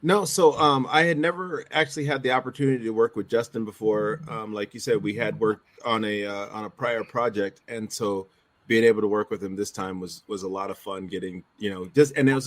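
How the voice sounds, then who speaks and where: A man co-hosting a casual chat show, thinking aloud in a halting, repetitive way. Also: on the low side, fairly bright tone. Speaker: co-host and director.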